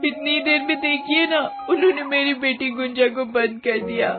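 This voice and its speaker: A woman's voice: sits high, little pitch movement, moderate pace, natural voice. A woman voicing a grieving, pleading mother's ghost in a horror story, on a tinny recording. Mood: tearful pleading. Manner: pleading, tearful. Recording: tinny band-limited sound with music.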